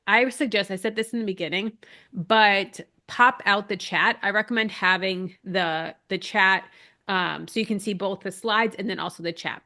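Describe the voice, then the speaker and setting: A woman's voice: medium pitch, fairly bright tone. A chatty, upbeat woman in her thirties hosts a live online class and greets viewers by name. A lingering cold leaves her a little nasal, with a dry throat and some shortness of breath. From Massachusetts. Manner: chatty, upbeat, reads out chat names. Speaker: online business coach hosting a live class. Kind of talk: casual live webinar talk.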